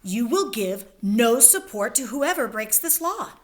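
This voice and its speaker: A woman's voice: fairly high pitch, sing-song, measured pace. A woman reading the part of a stern male king who hands down commands in a formal, proclaiming way. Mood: curt. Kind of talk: dramatic reading of a play.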